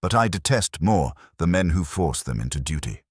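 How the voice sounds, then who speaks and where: A man's voice: low-pitched, big pitch swings, moderate pace, breathy edge, no room sound, studio quality, heavily acted. A man voicing a ponderous, haughty older detective who pronounces formal, pedantic judgments in an audiobook mystery. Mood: lofty, disapproving.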